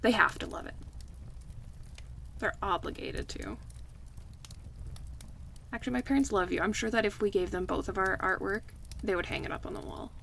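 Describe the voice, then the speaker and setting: A woman playing an affectionate, playful close friend in a casual, relaxed ASMR roleplay, chatting and teasing in a conversational way. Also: medium pitch, normal speed, gravelly, long pauses, slight background noise.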